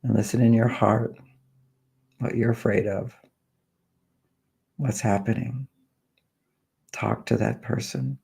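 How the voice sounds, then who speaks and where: A man with a mellow, gravelly voice leads a guided meditation. He talks slowly and softly, leaving long pauses, and his tone is husky and a little breathy. His pitch stays flat and even. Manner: slow, soft, soothing delivery with long pauses.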